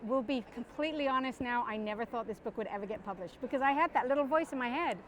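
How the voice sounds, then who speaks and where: A middle-aged woman born in Guyana, an author chatting as a guest in an interview, talking in quick bursts and correcting herself as she goes. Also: fairly high pitch, a little hiss or hum. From born in Guyana, raised abroad. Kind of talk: casual interview chat. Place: parked van.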